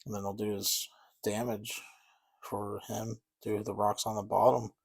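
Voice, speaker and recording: Low gravelly guy rambling easygoing while he paints minis. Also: level tone, a little slow. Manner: rambling, easygoing, self-deprecating. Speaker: miniature painting hobbyist. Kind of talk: casual chat while painting.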